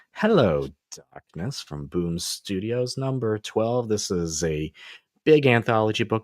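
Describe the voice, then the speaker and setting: A man's voice: fairly low pitch, vocal fry, no room sound, clean studio sound. A man hosting a casual comic book review show, rambling and joking as he recaps issues. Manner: laid-back, rambling, joking. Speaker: comic book podcast host.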